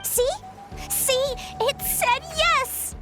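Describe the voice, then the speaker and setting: A woman playing a bold, excitable, confident young child in a video game. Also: extremely high pitch, very slow, soft background music, heavily acted. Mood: excited.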